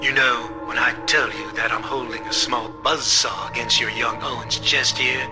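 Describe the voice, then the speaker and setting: Casting: male actor for cruel espionage boss villain, audio drama; measured menace, mid-pitched, music behind. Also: steady tone, close to an everyday voice. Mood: cold menace. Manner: menacing, articulate, deliberate threats. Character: cold sadistic male espionage chief, villain taunting his enemy. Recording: music bed under the voice.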